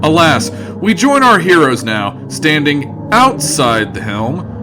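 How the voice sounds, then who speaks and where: A man's voice: fairly high pitch, sing-song, slow, music in the background. A man playing the wry storyteller of a sci-fi audio comedy, droll and theatrical. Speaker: narrator. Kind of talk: wry scripted comedy narration.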